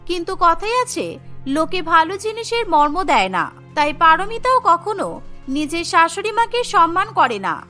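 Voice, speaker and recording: Very high, bright woman storyteller. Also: animated, moderate pace, faint music behind. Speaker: narrator. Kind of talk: scripted cartoon story narration.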